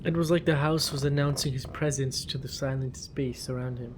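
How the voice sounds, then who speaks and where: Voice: medium pitch, fairly even intonation, brisk, slight background noise. A podcast narrator telling a scripted fiction story in descriptive, short phrases.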